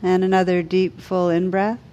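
Slow, soothing woman guiding meditation. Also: fairly low pitch, level tone, dull audio. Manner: slow, soothing, gentle pauses. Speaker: meditation teacher. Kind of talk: guided meditation.

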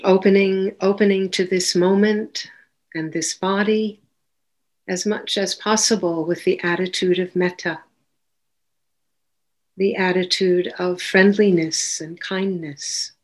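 A woman leading a guided meditation as a teacher, giving gentle, unhurried instructions with long pauses between phrases. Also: medium pitch, fairly even intonation.

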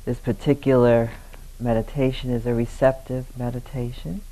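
Guy teaching meditation, gentle, takes his time, lots of long pauses between little phrases. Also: medium pitch, level tone, rough recording. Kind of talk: guided meditation.

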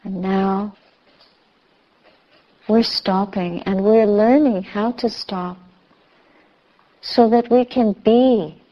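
Slow-speaking woman guiding a meditation, slightly muffled. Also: mid-range voice, fairly even intonation. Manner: measured, soothing, with long pauses. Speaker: meditation teacher. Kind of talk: guided meditation instruction. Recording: slightly dull, muffled recording.

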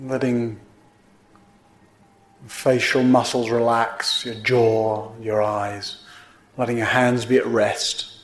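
A man leads a guided meditation at a very slow pace, leaving pauses between short, gently instructive phrases. His voice sits at an ordinary middle pitch for a man. The room around him adds a clear echo. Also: flat delivery. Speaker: meditation teacher. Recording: roomy, echoing indoor sound.

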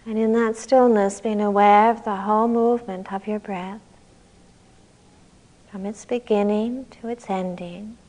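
A woman leading a guided meditation as a teacher, speaking gently and calmly in unhurried phrases with long pauses. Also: mid-range voice, rough recording.

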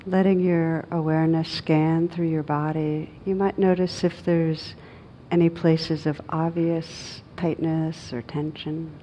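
A woman meditation teacher leading a guided meditation in a gentle, unhurried manner with long pauses. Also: sits low, level tone, slight background noise, poor audio.

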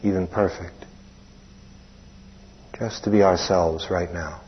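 A man leading a guided meditation as a teacher, speaking plainly in short, unhurried phrases with long pauses. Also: low voice, fairly even intonation, a little hiss or hum. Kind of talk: guided meditation instruction, spoken live. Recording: dull, muffled lo-fi recording.

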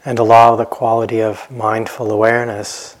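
A man teaching a guided meditation in a gentle, unhurried manner, leaving long pauses between phrases. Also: lowish voice, monotone, low-quality recording.

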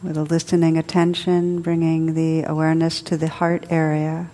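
A woman leading a guided meditation as a teacher, soothing and unhurried, speaking in short phrases with long pauses. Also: sits low, monotone, mellow. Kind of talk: guided meditation, spoken to a group.

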